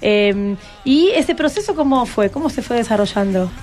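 A woman's voice: a little high, animated, normal speed, darkish tone. A woman with a Buenos Aires Argentine accent hosting a live radio interview with students in a studio. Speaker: radio host. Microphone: studio microphone. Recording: music playing behind.

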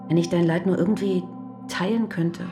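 A woman's voice: fairly low pitch, steady tone, moderate pace, music in the background, lightly acted. An actress playing a worried, devoted wife who visits her husband in prison and presses him with earnest questions, in a radio drama. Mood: concerned.

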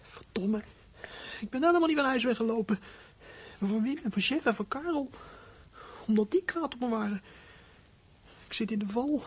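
A performer playing a small troubled runaway boy in a radio drama, husky and hesitant, speaking in plain colloquial phrases. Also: mid-range voice, expressive, normal speed, pauses often. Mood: panicked, hushed. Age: child character. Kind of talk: radio drama acting with whispered inner monologue.

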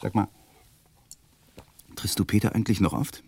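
An actor playing a lawyer and husband with a quiet, restrained, husky manner in a radio play. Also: male voice, fairly low pitch, fairly even intonation, moderate pace.

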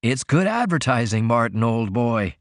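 A man's voice: on the high side, lively intonation, moderate pace, no echo, clean studio sound, voice pushed up, natural voice. A man voicing a sickly, dying man with a sardonic, solemn, goading manner in a storybook reading. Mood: solemn mockery. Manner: solemn, meditative, urging.

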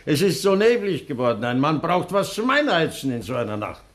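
An actor playing a boozy, careless servant who talks in an easygoing, rambling way, in a 1960s radio drama. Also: male voice, on the high side, very animated, a little fast. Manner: easygoing, rambling, slightly tipsy.

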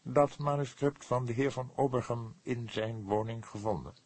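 A man's voice: mid-range voice, normal speed, a little mellow, husky, dry recording, voice pushed up, natural voice. A man voicing a pompous male book publisher in an audiobook, stately and bombastic with swelling emphasis. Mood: soft, probing.

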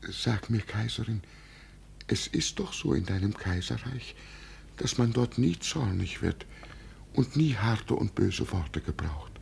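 Deluded old emperor, slow and swooping. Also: male voice, lowish voice, animated, gravelly, darkish tone, full character voice. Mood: proud, dreamy. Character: deluded old father who believes himself an emperor. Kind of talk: 1950 German radio drama acting. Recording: noisy vintage 1950 radio recording.

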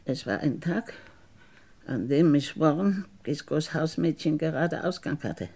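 A bitter woman who insists she was wrongly convicted, in a radio crime drama. Her low voice is husky and creaky. She speaks slowly and deliberately, insinuating and sometimes sinking toward a whisper. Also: expressive, darkish tone, heavily acted. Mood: insinuating, resentful.

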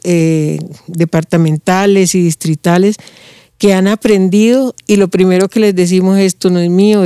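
Older woman musician, Colombian coastal accent, mellow resonant voice, interview guest. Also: medium pitch, clean tone, dry recording, studio quality. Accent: Colombian Caribbean coast. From Barranquilla, Colombia. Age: 60s. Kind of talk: interview conversation.

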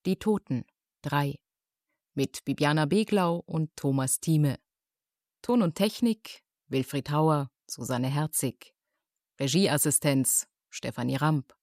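A woman reading a scripted announcement as a radio announcer, formal and clearly phrased. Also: low voice, slow pace, a little mellow, dry recording, clean studio sound.

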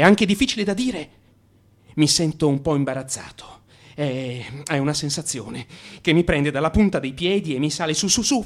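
Male for radio drama: agitated, frustrated man confessing to his analyst, theatrical, very wide pitch swings. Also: fairly bright tone. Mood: manic, enraged, then uneasy, exasperated. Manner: theatrical, swinging between muttering and outbursts. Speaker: actor in a radio monologue.

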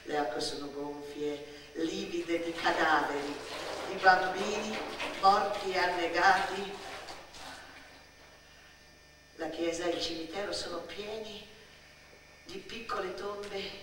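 A woman in a theatrical radio monologue, playing a dramatic, brooding little girl who speaks poetically in deliberate short phrases. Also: lowish voice, steady tone, close to an everyday voice. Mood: eerie wonder. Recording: echoey stage sound, distant, faint noise.

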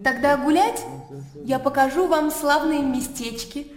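An actress playing a lively, naive young Russian gentlewoman in a radio drama, talking in an animated, girlish way. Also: high voice, measured pace. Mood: cheerful, inviting. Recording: echoey hall-like reverb, clear background noise.

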